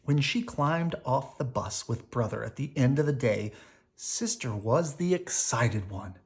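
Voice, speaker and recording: A woman reading a children's storybook aloud in an animated, unhurried way for young listeners. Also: rumbling low. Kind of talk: expressive read-aloud storytelling.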